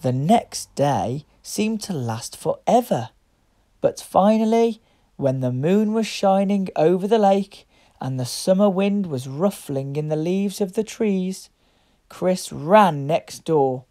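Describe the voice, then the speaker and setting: A woman reading a picture book aloud to young children, with deliberate, expressive phrasing. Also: lowish voice. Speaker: children's story reader.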